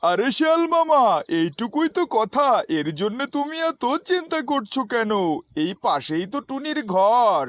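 পুরুষ কণ্ঠে খুব চড়া গলার ধূর্ত কাক. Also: male voice, very high-pitched, sing-song, moderate pace, a bit bright, full character voice. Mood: wheedling. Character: sly, flattering, scheming crow cheat. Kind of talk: children's animated cartoon story dialogue.

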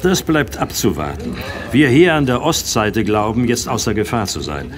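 An actor playing a hospitable, authoritative village dike master, speaking with deliberate weight in an audio drama. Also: male voice, medium pitch, sing-song, a crowd in the background, lightly acted. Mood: hospitable, composed. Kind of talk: German audio drama dialogue.

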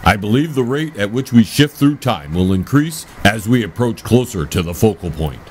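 A man playing a logical, unemotional starship science officer, formal and deadpan, in a sci-fi comedy audio drama. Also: fairly low pitch, normal speed, noisy background, close to an everyday voice. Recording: music bed behind.

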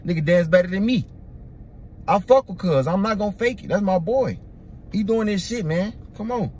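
A young man hosting a music reaction video from a parked car, talking excitedly in profane slang into a phone held at arm's length. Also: high voice, normal speed, slight background noise. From Iraq. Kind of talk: casual reaction commentary. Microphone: phone camera at arm's length.

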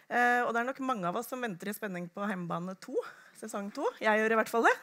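A woman's voice: on the high side, animated, a bit bright. A middle-aged woman, hosting a conference and introducing speakers to an audience. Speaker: conference moderator. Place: small stage in a conference hall with audience.

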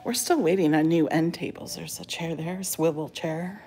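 A woman's voice: sits low, normal speed, mellow. A woman chatting informally as she hosts a casual house tour, recorded on a handheld phone. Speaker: host giving a house tour. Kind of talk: casual walkthrough chat. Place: rooms of a small house, then porch and driveway. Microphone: handheld phone, operator behind camera. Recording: noisy handheld recording on the move.

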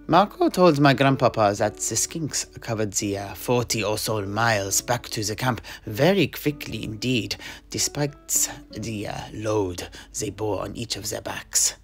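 Man playing a storytelling mercenary knight, music behind. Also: on the low side. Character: male mercenary knight recounting his grandfather's voyage in a tavern. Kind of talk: in-universe storytelling audio play.

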